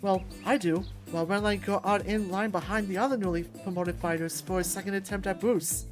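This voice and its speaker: A woman reading a visual novel aloud as expressive first-person narration. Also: medium pitch, a little fast. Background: music.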